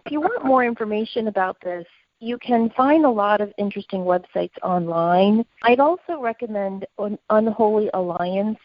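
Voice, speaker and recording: A woman's voice: medium pitch, no echo, dull audio. A woman co-hosting a conversational podcast, speaking in an earnest, opinionated way. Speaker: podcast co-host.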